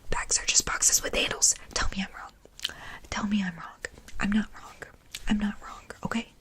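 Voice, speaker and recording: A young woman whispering close to the mic in a playful, rambling ASMR style, repeating words in soft rhythmic loops. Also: mid-range voice, fairly even intonation, moderate pace. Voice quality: breathy unvoiced whisper. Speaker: ASMR creator. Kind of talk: ASMR whispering, deliberately silly wrong explanations.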